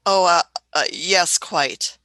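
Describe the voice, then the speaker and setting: A woman's voice: on the low side, slow pace, pushed and bright. A woman voicing an elderly female time traveler in a fan radio play, shrewd and fussy, often hesitating with "uh" and "hmm".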